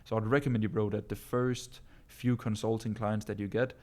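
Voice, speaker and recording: A Danish man speaks English as his second language, with a low voice that stays fairly level. He talks loosely and casually, peppering his answers with bro and um as he coaches his viewers. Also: flat delivery, normal speed, a little mellow. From Denmark. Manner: casual, calls listeners bro, many ums and uhs. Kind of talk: casual live-stream Q&A.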